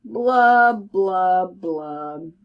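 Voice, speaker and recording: A woman's voice: lowish voice, animated, highs cut off, voice pushed down. A woman voicing a glum, pouting male fish in a storybook, with a mournful, rhyming way of talking. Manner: mournful, rhyming, drawn-out blubbing refrain. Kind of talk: storybook read-aloud character voice.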